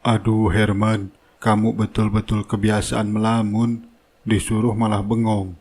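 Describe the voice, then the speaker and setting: A brusque, nagging foreman from a cartoon drama, played by a man. He speaks up forcefully and impatiently, ordering his workers about like an irritated boss. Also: fairly low pitch, little pitch movement, measured pace, slightly rough. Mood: scolding, impatient. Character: bossy, scolding construction foreman.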